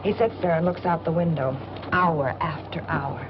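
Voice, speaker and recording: Actress as a bored, headstrong young woman, low-pitched, noisy film. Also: fairly even intonation, normal speed, lightly acted. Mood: bored, chatty. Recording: dull, noisy old film soundtrack, train rumble.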